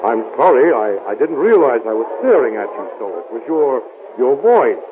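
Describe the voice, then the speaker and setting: Guy acting as flustered american gem dealer abroad, super lively pitch, old radio drama, tinny sound. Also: moderate pace, background noise, close to an everyday voice. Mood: apologetic, amused. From United States. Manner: flustered, hesitant, exclamatory. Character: prosperous American gem dealer abroad, stubborn, breezily trusting.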